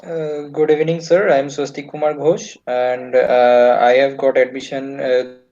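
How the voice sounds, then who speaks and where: A man's voice: medium pitch, little pitch movement, moderate pace, mellow. A young male student from India, introducing himself hesitantly and politely. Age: young adult. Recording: slightly muffled call audio.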